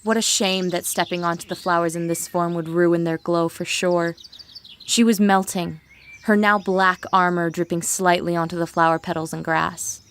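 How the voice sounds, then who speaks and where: Low-voiced woman narrating a dramatic story. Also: little pitch movement, normal speed, a little hiss or hum.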